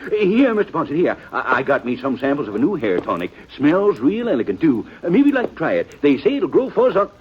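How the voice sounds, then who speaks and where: A man's voice: fairly high pitch, wildly swooping pitch, fairly quick, husky, full character voice. An actor playing a chatty, folksy frontier wagon peddler with a rural Western American accent and an eager salesman's patter, in 1950s radio western style. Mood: flattering, eager. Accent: rural American West. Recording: muffled, noisy old radio recording.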